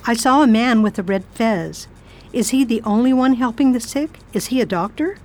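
A woman acting a determined American volunteer nurse in a radio play, with a brisk, firm and commanding way of talking. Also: on the high side, darkish tone, close to an everyday voice. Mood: resolute.

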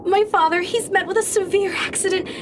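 Lady doing a scared daughter in an old radio play, super high pitched, pleading, lots of noise. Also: steady tone, fairly quick. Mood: urgent, distressed. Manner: hesitant, breathless pleading, melodramatic. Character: anxious, pleading, frightened daughter of a chemist. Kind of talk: old-fashioned radio drama acting.

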